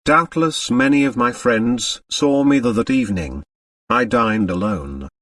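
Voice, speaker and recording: A man's voice: medium pitch, animated, measured pace, fairly bright tone, far from the mic. A man voicing a haughty, suave French aristocrat with a formal manner in an audiobook. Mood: composed. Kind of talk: audiobook character dialogue.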